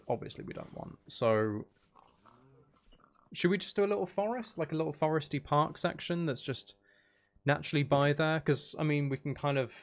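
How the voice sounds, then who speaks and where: Creaky-voiced man casually streaming a game. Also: mid-range voice. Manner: thinks out loud, sweary, banters at game characters. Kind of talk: casual gameplay commentary with chat.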